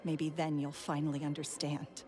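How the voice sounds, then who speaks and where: A woman's voice: sits low, little pitch movement, normal speed, crowd noise, natural voice. A woman playing a bitter, lonely, accusing woman in a video game. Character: bitter, lonely woman confronting a young rival. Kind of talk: video game character acting.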